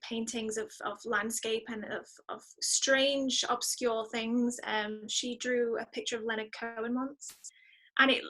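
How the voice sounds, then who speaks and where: A woman with a fairly high, very bright voice chats as a podcast guest. She thinks aloud in a reflective, enthusiastic way, keeping the conversation casual. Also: low-quality recording. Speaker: interview guest, musician.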